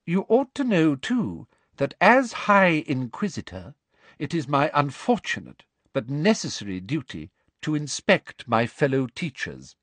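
Officious woman inspector, played by male reader: high-pitched, prim, sugary-cold, pompous, for storybook. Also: huge pitch swings, unhurried, fairly bright tone, no echo, full character voice. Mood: smugly menacing. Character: coldly officious, falsely sweet woman school inspector.

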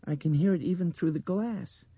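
A man's voice: fairly high pitch, normal speed, dark tone, no room sound, muffled recording, pitched above the performer's own voice. A man voicing a blind little girl in an audiobook, dreamy, trembling and insistent. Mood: intent, mimicking. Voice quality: breathy, trembling.

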